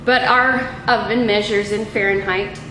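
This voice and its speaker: A middle-aged woman instructor giving an unscripted, methodical lab demonstration in a halting way, picked up by a camera a few feet away in a small room. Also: mid-range voice, steady tone. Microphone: camera mic a few feet away. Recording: echoey room with clear background noise.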